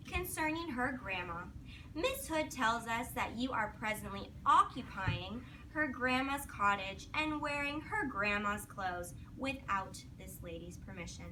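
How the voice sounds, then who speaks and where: A woman teacher reading aloud to young children in a classroom, with simple instructional prompts and praise. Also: a little high. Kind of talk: read-aloud lesson with questions to children. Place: classroom, recorded from a distance. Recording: distant mic, noisy classroom.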